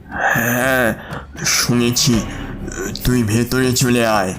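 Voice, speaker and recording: A man's voice: mid-range voice, little pitch movement, extremely slow, over music. A male actor playing an anxious, deferential, hospitable father in an audio drama, speaking earnestly and respectfully. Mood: frightened.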